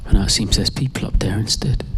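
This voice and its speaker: A man performing a reflective spoken-word story live, in short, halting phrases. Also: fairly low pitch, noisy background. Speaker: performance artist.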